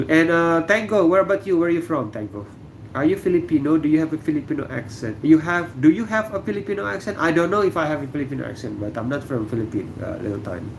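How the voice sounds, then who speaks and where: A middle-aged man from Indonesia hosting a relaxed livestream, answering viewer comments with lots of fillers and pauses. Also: fairly high pitch, creaky, a little hiss or hum, poor audio. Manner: relaxed, halting, many fillers. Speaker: livestream host. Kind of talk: casual livestream chat reading viewer comments. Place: room indoors.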